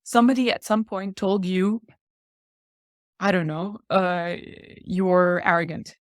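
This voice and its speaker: A woman's voice: lowish voice, takes it slowly, no room sound, studio quality. A woman in her forties who grew up in Thailand, a podcast guest explaining ideas earnestly and laughing lightly between points. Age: 40s. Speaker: guest speaker. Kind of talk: reflective podcast talk.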